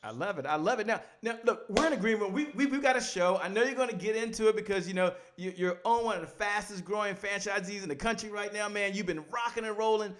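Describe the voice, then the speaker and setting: High-voiced animated male talk host. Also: quick pace, few pauses. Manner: animated, gestures with hands while talking. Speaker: show host. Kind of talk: casual green-room interview. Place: home studio with poster behind. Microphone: studio mic on boom arm.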